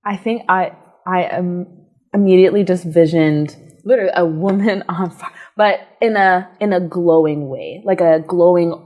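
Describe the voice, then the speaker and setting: A young woman entrepreneur answers interview questions in a casual, reflective way, pausing and restarting as she thinks. Her voice sits low for a woman. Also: darkish tone. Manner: reflective, hesitant, many fillers and restarts, laughs easily.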